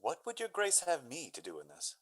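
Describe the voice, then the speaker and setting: A man playing an earnest, courteous young gentleman lover in a Shakespearean radio drama, speaking florid verse. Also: a little high, huge pitch swings, fairly quick, slightly rough, heavily acted. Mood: confident, advising. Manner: hesitant openings, then florid Shakespearean verse.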